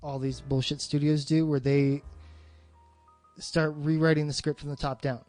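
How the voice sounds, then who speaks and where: A man's voice: fairly high pitch, little pitch movement, normal speed, a little mellow, faint music behind. A man chatting casually about film as a podcast co-host, blunt and freely swearing.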